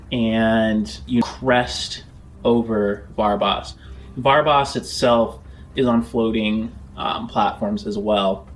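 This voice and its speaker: A man narrating a tabletop roleplaying game as game master, in a measured, descriptive storytelling manner. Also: on the low side, slight background noise. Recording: music under the voice. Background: background music.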